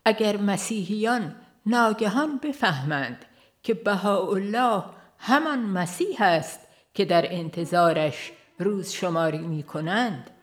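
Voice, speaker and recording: A woman reading a religious audiobook in a formal, measured way, as a scripted narration. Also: lowish voice.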